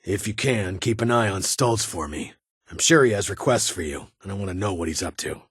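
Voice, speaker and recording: Husky, low-voiced ghoul military officer. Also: male voice, animated, brisk, fairly bright tone, no echo, exaggerated voice. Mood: measured, formal. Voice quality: raspy, gravelly. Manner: clipped, formal military delivery with dry authority. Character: stern ghoul military officer in an underground vault, guarded and dutiful. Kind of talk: video game character dialogue.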